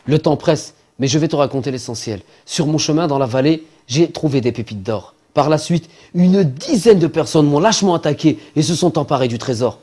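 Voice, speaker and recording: A man's voice: fairly high pitch, lively intonation, fairly quick, hardly any pauses, mellow. An actor playing a greedy, cowardly, scheming man in a French-dubbed cartoon. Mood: urgent, excited. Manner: placating and pleading aloud, conspiratorial when plotting alone. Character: greedy cowardly scheming male treasure finder. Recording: music under the voice.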